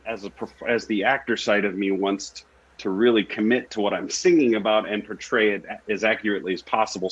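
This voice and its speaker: A man's voice: fairly low pitch, breathy edge. A man, an actor and singer, telling his story casually as an interview guest. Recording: echoey room, dull lo-fi audio.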